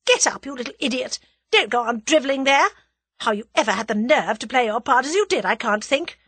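Female narrator as menacing villainess, highish pitch, cold, commanding, impatient, scornful, very expressive swings, storybook drama. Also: fairly quick, slightly rough, dry recording, heavily acted. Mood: sharp impatience.

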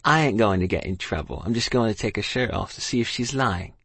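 A man's voice: lowish voice, expressive, quick pace, gravelly, a bit bright, husky, dry recording, exaggerated voice. Storybook soldier, read by a man: crude, leering, threatening, colloquial and taunting. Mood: menacing, taunting. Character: coarse, menacing, leering common soldier.

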